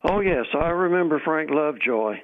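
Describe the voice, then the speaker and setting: Man as a creaky old fellow on the phone. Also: a little high, animated, moderate pace. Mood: genial, rambling. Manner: rambling, folksy. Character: rambling, genial old former newspaper colleague, fond of scotch. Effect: telephone filter. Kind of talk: old-time radio drama acting. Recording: thin, narrow telephone line.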